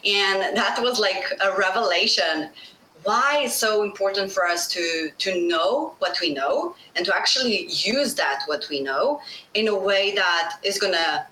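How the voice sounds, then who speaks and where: A middle-aged woman from Slovenia, whose first language is Bosnian, telling her story in an animated interview and laughing often. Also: mid-range voice, measured pace, slight background noise. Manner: animated, laughing, acts out quoted voices. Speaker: guest. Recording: echoey room.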